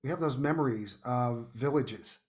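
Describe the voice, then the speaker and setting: An American man chatting as an interview guest, thoughtful and halting, often repeating words as he goes. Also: medium pitch. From United States. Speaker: guest playwright and podcast host. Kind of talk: casual interview chat.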